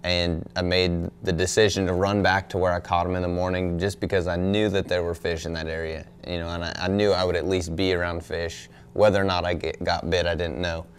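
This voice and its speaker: A young man, a pro angler, answering questions at a press conference in a relaxed, thoughtful way, full of "you know" and "um". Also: deep voice, brisk, few pauses, slight background noise. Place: indoor press room in front of a backdrop. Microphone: desk microphone on a stand.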